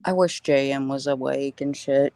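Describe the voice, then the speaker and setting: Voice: medium pitch, steady tone, moderate pace, dark tone, clean tone, no echo. A person chatting casually on a live stream, choosing words carefully and hesitantly.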